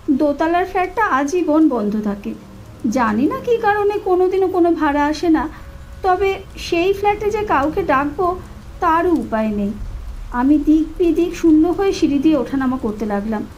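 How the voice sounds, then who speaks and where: A woman narrating a first-person horror story in an expressive, suspenseful, dramatic scripted style. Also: extremely high pitch, dull audio, a little hiss or hum. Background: atmospheric sound effects.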